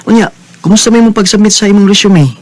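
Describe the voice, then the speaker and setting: A man's voice: high-pitched, quick pace, a little mellow, close to an everyday voice. An actor playing a kind, attentive man in a radio drama, speaking expressively and conversationally with a Cebuano Filipino accent. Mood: curious, impressed. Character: Robin, kind, attentive man hosting and admiring a woman friend.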